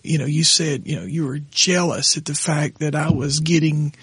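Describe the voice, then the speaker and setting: A middle-aged pastor, hoarse and raspy tonight, talking haltingly with his wife on their podcast. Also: a little high, fairly even intonation, fairly bright tone, no echo. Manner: halting, restarts often when moved. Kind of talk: casual conversation with his wife.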